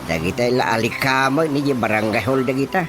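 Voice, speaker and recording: A man with a Filipino accent playing an older, laconic, evasive village chairman in a radio comedy-drama, with grunting, unhurried replies. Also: mid-range voice, over music, close to an everyday voice.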